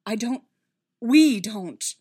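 A woman voicing a firm, protective male Jedi mentor in a story, measured and reassuring. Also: fairly high pitch, lively intonation, dark tone, slightly rough, pitched above the performer's own voice, full character voice. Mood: insistent.

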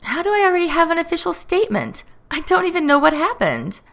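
Woman voicing a whiny, creaky, indignant magical mayor. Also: high-pitched, lively intonation, unhurried, no echo, pitched above the performer's own voice, heavily acted. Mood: sulky protest. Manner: petulant, grandiose. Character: indignant, self-important, sulky man, magical mayor of London. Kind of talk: audiobook-style character reading.

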